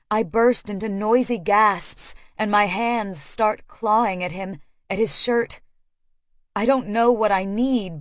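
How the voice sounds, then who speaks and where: A woman narrates romance fiction in the first person at an unhurried pace. Her mid-pitched voice sits in a very dry, slightly dull recording. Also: no echo. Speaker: audiobook narrator.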